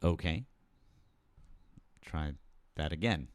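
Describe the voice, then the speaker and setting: A man's voice: rumbling low, takes it slowly, no room sound. A man hosting a live political talk stream, taking callers and speaking casually with lots of fillers and blunt swearing. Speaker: political talk show host.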